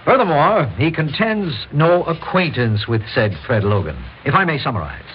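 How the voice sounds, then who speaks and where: A man's voice: a little high, lively intonation, moderate pace, close to an everyday voice. An American man acting a principled, defiant lawyer in a mid-century radio drama, speaking formally and emphatically. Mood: formal, insistent. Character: Jay, principled, defiant lawyer confronting vigilantes. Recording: muffled old radio recording with faint noise.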